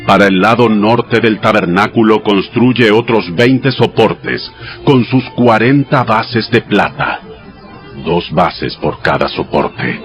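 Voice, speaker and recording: A man playing God in a dramatized audio Bible, giving exact instructions in a solemn, measured, commanding manner. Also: on the low side, lively intonation. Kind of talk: dramatized scripture reading. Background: music behind the voice.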